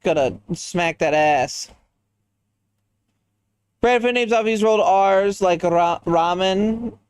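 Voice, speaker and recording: Smooth-voiced man chatting on stream. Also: high-pitched, slow, no echo. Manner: rambling, reacts to chat, goofy asides. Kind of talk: casual chat while gaming.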